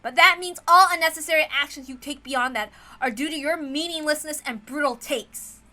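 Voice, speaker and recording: An indignant young man voiced by a woman argues with stubborn, righteous emphasis. The voice is high and forceful, in the dramatic manner of a visual novel confrontation. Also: normal speed. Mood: stern reproach.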